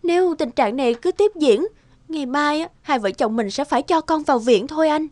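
A woman's voice: high-pitched, a little fast, a little mellow, no echo, clean studio sound, natural voice. A woman voicing an anxious, distressed young mother in a cartoon, worried and pleading. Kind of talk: cartoon voice acting.

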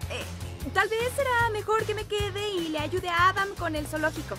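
A woman voicing an excitable, slightly timid young artist girl in an animated cartoon, expressive and gushing. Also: very high-pitched, normal speed. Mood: nervous. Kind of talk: animated cartoon dub acting. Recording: music bed behind the voice.

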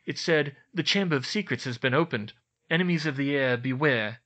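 A man's voice: mid-range voice, fairly quick, fairly bright tone, close to an everyday voice. A man voicing an anxious schoolboy wizard who talks hesitantly. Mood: uneasy.

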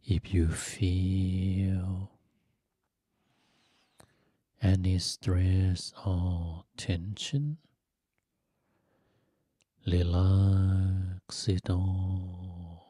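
Man, meditation teacher, mellow dark tone, breathy and soft, dry close sound, soothing, unhurried short phrases. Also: sits low, completely flat delivery, no room sound. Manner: gentle, soothing, long pauses between short phrases. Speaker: Buddhist monk teaching meditation. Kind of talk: guided meditation.

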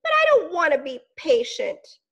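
A woman's voice: sits high, huge pitch swings, normal speed, a bit bright, dull audio, pitched above the performer's own voice, full character voice. A woman voicing an impatient little female caterpillar in a storybook, whiny and pleading.

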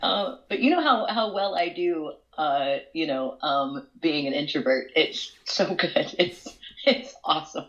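A woman's voice: deep voice, expressive, measured pace, fairly bright tone. A woman in her forties, chatting casually at home over a video call, a bit congested with a cold and given to long storytelling asides. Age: 40s. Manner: chatty, storytelling, laughs often. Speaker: co-host. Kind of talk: casual chat. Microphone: smart display video call. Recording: dull, lo-fi video call audio.

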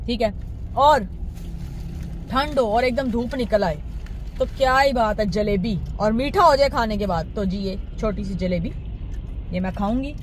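A woman's voice: a little high, unhurried, long pauses. A young adult woman with a Pakistani accent, chatting casually to viewers as a vlogger. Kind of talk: casual vlog chat. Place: inside a car, on city streets. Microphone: phone at arm's length. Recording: loud car and traffic noise.

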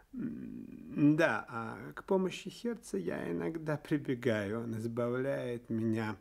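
An actor playing a menacing, condescending businessman in a radio play, speaking with deliberate hesitant hums. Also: medium pitch, gravelly, darkish tone. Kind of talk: Soviet-style radio play acting.

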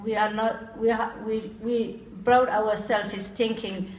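A woman giving a short speech at a hall event into a handheld mic, halting and restarting her sentences. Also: on the high side, level tone, darkish tone. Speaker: event speaker. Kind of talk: speech to an audience. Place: banquet hall. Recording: roomy hall with faint noise.

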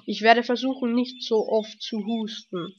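A woman with a cold, commenting casually on a game she is playing. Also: a little high. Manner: relaxed, slow, chatty. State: has a cold, coughing. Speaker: let's play host. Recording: dull lo-fi home mic with faint noise.

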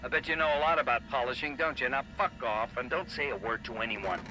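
A man's voice: medium pitch, fast talker, bright, forceful tone, faint music behind, natural voice, low-quality recording. A man playing an arrogant, abusive chief biologist in a video game, with a condescending, profane manner. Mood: sneering contempt. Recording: clear background noise.

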